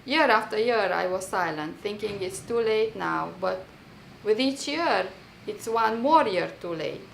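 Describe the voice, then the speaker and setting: A woman with a Romanian accent reads her own personal testimony essay in an emphatic, deliberate, rhetorical manner. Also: mid-range voice, animated, gravelly. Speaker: writer reading own work. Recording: roomy echo, faint background noise.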